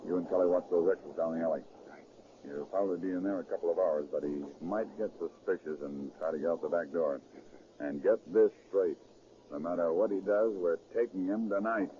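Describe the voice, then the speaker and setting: An actor playing a commanding, decisive federal agent chief with clipped speech in a 1930s radio crime drama. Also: male voice, low voice, fairly even intonation, fairly quick, natural voice. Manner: clipped, authoritative. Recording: muffled, noisy 1930s radio recording.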